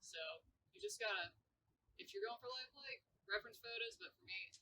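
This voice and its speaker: Really high bright lady potter, gravelly edge, talking from a bit far off w/ faint room noise. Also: very animated, brisk. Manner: chatty, playful, acts out her own thoughts. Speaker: ceramic artist. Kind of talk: casual interview chat. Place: library exhibit space.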